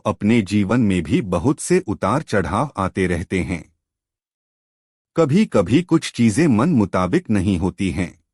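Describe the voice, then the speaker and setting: A man narrating a moral story in a slow, measured way, ending with a lesson for the listener. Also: lowish voice, a little mellow, no room sound, studio quality.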